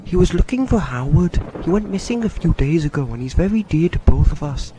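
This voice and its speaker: An actor playing a plain-spoken, questioning man in an audio drama, direct and expressive. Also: fairly high pitch, a little fast, lightly acted. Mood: concerned. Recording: clear background noise on recording.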